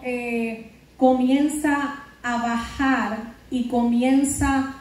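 A woman's voice: sits high, dark tone. A middle-aged woman pastor preaching a fervent sermon, peppering her words with hallelujahs and praise. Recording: very echoey hall, voice from far off.

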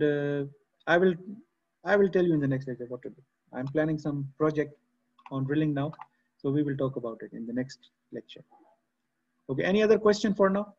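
A man's voice: on the high side, a little mellow. Man lecturing over a dull video call. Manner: explains patiently, repeats key terms, frequent "okay". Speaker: engineering lecturer. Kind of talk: online lecture. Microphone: computer mic on a video call.

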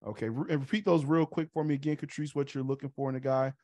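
A man's voice: mid-range voice, fairly even intonation, fast, dark tone, no room sound. A man in his thirties hosting an online meetup from a room at home, talking in a casual, easygoing way with restarts and uhs. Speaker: host.

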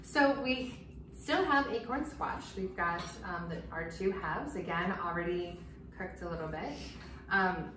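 A woman in her thirties talks through a recipe while she cooks, relaxed and chatty. She is picked up from across a home kitchen, so her voice sounds distant. Strong room echo and steady background noise sit under her. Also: fairly low pitch, measured pace. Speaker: cooking demonstrator. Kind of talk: casual cooking demonstration. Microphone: far camera mic. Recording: echoey kitchen, distant mic, noisy.

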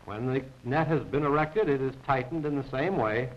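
A man's voice: medium pitch, normal speed. A man narrating a scripted 1940s military training film in a formal, precise, instructional manner. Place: voice-over recorded apart from the pictures. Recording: dull, band-limited 1940s film soundtrack.

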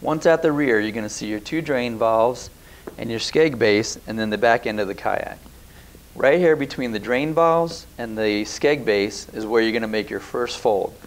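A man in his thirties giving calm step-by-step product instructions on a lapel mic, recorded in a bare indoor room. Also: mid-range voice, normal speed. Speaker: product demonstrator. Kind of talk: scripted instructional voice-over.

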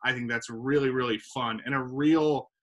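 A man's voice: mid-range voice, fairly even intonation, normal speed, a bit bright. A man in his thirties from South Carolina, a theater director chatting casually in a video-call interview, with frequent ums and a self-deprecating manner. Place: home room with windows. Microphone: video call laptop mic.

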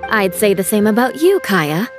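A woman playing a confident young noblewoman in a video game, speaking in a measured, formal manner. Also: mid-range voice, sing-song, music in the background, natural voice. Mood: composed.

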